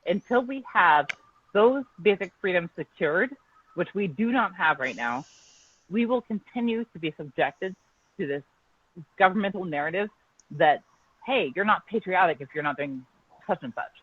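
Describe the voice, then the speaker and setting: A woman's voice: on the low side, expressive, normal speed, breathy edge, no room sound, narrow, tinny audio. A woman journalist guest on an online show, outspoken and emphatic.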